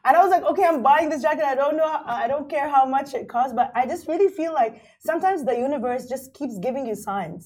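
A woman's voice: sits high, expressive, racing, hardly any pauses, a little mellow. Female guest, 30s, from Kuwait; loose, chatty, filler-heavy delivery. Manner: chatty, fillers like "like" and "you know". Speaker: guest mountaineer. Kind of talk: casual interview chat.

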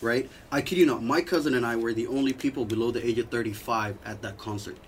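A man hosting a podcast, telling a story in a relaxed, slangy way in casual chat. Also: mid-range voice, quick pace, a little hiss or hum. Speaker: podcast host.

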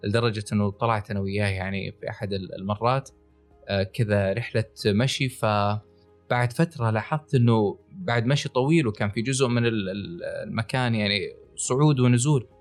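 A man's voice: fairly low pitch, a little fast, faint music behind. A man with a Saudi Gulf accent co-hosting a health podcast, talking in an explanatory, conversational way. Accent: Gulf Arabic, Saudi. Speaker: podcast co-host, writer and wellness coach.

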